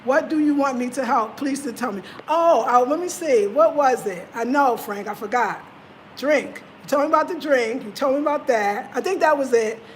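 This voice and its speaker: Woman, American accent, high-pitched, animated storyteller, live chat host. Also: moderate pace, a little hiss or hum, rough recording. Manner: animated, chatty, slangy storyteller. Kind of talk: live stream chat, reading viewer comments.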